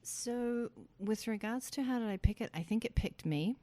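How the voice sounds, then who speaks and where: A woman's voice: on the high side, moderate pace, dark tone. A middle-aged woman with a New Zealand accent, speaking conversationally and reflectively as an interview guest, explaining things.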